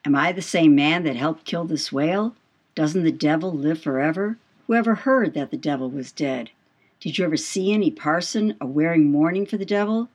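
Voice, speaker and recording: A woman playing a brash, boastful male sailor in an audiobook, with salty, swaggering speech and emphatic questions. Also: sits low. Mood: scornful, insistent. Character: brash, boastful male whaling mate telling devil yarns. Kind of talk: dramatised audiobook dialogue.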